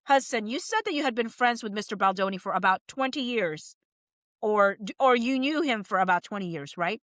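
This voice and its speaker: A woman's voice: mid-range voice, animated, fairly quick, a bit bright, dry recording, clean studio sound. A woman narrator reading a legal deposition transcript aloud, questions and answers alike.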